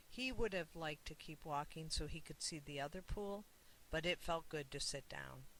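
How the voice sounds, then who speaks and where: Children's book narrator, author's own read: bright tone, scripted storytelling, light hiss. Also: mid-range voice, low-quality recording.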